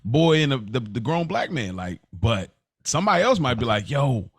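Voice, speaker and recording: A man in his thirties with an American accent talks as a podcast co-host in a mid-pitched voice. His delivery is casual and unscripted, full of slang and animated repetition. The sound is dry, with very little room in it. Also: normal speed, slightly rough, dry recording.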